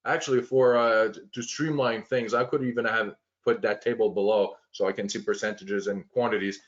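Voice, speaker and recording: A man walking through planning software on a shared screen, explaining step by step with frequent fillers and restarts. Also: lowish voice, steady tone, normal speed, dull audio. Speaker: software trainer.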